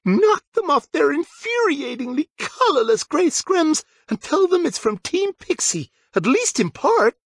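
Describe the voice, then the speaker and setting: A fierce schoolgirl team captain, as voiced by a male narrator, pitched far up from a man's usual talk. She is indignant and grimly determined, a storybook heroine out for revenge. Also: sing-song, unhurried, hardly any pauses, dry recording, studio quality, exaggerated voice. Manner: resolute, indignant.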